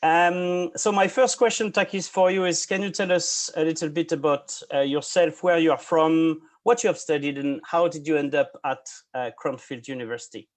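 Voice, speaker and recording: Slightly high-voiced man hosting a podcast. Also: fairly even intonation, normal speed, hardly any pauses. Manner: hesitant, many fillers, polite. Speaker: podcast host. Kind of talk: hosting a video call interview. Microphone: video call.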